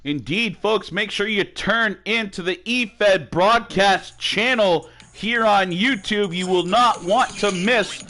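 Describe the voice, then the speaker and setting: High-voiced excitable wrestling announcer. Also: male voice, takes it slowly, hardly any pauses. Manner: excitable, calls action with shouting bursts. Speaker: play-by-play commentator. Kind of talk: wrestling play-by-play commentary. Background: music.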